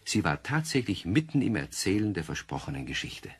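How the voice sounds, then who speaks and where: A man narrating a German children's radio play as a confiding storyteller, with a husky voice and scripted delivery. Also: deep voice, big pitch swings, brisk, exaggerated voice. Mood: storytelling.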